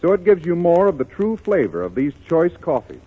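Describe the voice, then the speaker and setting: A man's voice: fairly high pitch, very animated, a little fast, slightly rough, narrow, tinny audio. A man working as a radio announcer, reading an advertisement in 1930s broadcast style.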